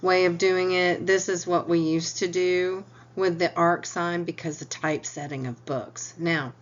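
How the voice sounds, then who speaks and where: A woman math teacher talks through the steps slowly, in a lowish voice with a stuffy nose. She explains patiently and clears her throat at times. The recording is dull and lo-fi, with a faint hum behind her. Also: steady tone. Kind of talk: recorded lecture.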